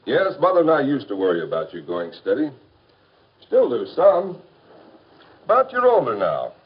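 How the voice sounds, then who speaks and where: An American man acting as an easygoing, reasonable middle-aged father giving measured advice in a 1950s educational film. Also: medium pitch, wildly swooping pitch, a little hiss or hum. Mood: calm, advising. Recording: dull, muffled 1950s film soundtrack.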